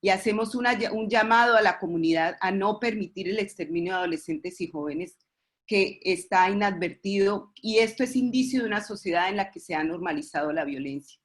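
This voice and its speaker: A woman with a Colombian accent giving a formal webinar presentation as an expert, often halting and repeating words as she goes. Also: mid-range voice. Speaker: expert presenter at a webinar, representing a pediatric committee.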